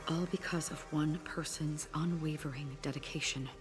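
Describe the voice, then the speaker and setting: An actress playing a calm, dutiful woman warrior in a video game, speaking formally and seriously. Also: low voice, normal speed, natural voice. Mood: gentle, grave. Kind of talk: scripted video game acting. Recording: game audio with faint music.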